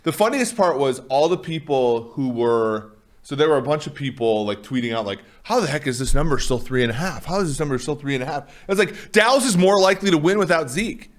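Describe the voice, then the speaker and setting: A man with a loud voice co-hosting a relaxed sports talk show. He rambles through his points in a casual chat, starting over and circling back often. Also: on the high side, a little fast. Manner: rambling, lots of restarts and "like". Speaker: co-host.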